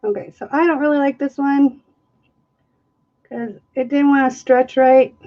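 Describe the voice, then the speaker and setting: A woman's voice: sits high, steady tone, unhurried, dark tone, highs cut off. A woman hosting a live fluid art stream, chatting casually and joking at her own expense. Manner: chatty, self-mocking, laughs often. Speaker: fluid art livestream host. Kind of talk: casual live demo chat. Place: art studio, camera overhead on the table.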